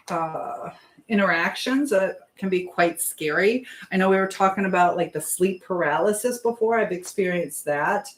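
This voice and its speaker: A woman's voice: mid-range voice, a bit bright. Woman rambling through a casual livestream. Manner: rambling, trailing off, chatting while busy with kitchen work. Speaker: livestream host. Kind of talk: casual live chat, reading and answering viewer comments.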